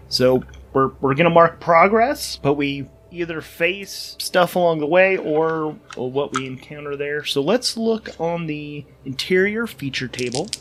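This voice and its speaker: Higher-pitched man narrating a solo game. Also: noisy background. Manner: informal, self-correcting. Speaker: solo game player and podcast host. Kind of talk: thinking aloud through a solo tabletop game.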